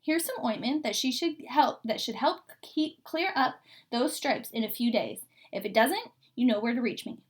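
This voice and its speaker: A woman voicing a bustling male family doctor in a children's storybook, exclaiming and briskly questioning. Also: fairly high pitch, lively intonation, lightly acted. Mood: brisk, businesslike.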